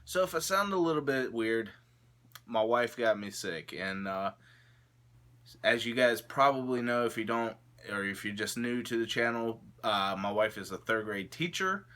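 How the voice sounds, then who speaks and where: A man's voice: medium pitch, expressive, moderate pace, a bit bright. A young man with a stuffy, congested nose, rambling casually to camera in his vlog with lots of filler words. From Florida, USA. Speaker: YouTube vlogger.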